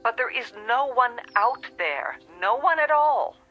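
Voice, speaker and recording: An actress playing a patient, matter-of-fact telephone operator in a vintage television drama, heard as if over the phone. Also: female voice, a little high, animated, muffled recording, soft background music. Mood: gently firm. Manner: measured, polite, apologetic.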